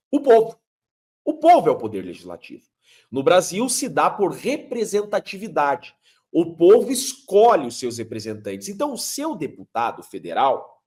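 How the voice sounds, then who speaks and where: A man's voice: high-pitched, darkish tone. A man, a lawyer explaining legal matters emphatically, posing rhetorical questions as he lectures. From Curitiba, Brazil. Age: 30s.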